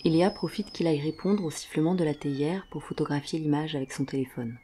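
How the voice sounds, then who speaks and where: A woman narrates an audio drama from a script. Her voice sits very low for a woman and has a dark, soft-edged mellowness. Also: quick pace, a little hiss or hum.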